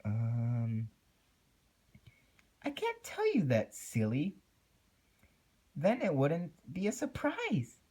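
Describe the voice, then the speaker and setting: High, deranged cartoon pony. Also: female voice, huge pitch swings, drawn-out words, long pauses, mellow, slightly rough, heavily acted. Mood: scattered false cheer. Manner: disturbing, manic, scattered speech. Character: deranged, eerily cheerful female cartoon pony, grieving underneath.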